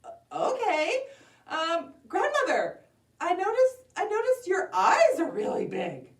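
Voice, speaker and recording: A woman's voice: very high voice, wildly swooping pitch, takes it slowly, pitched above the performer's own voice, heavily acted. A woman voicing a polite, innocent, hesitant little girl in a children's storybook tale. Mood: hesitant, curious. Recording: roomy echo, distant from microphone.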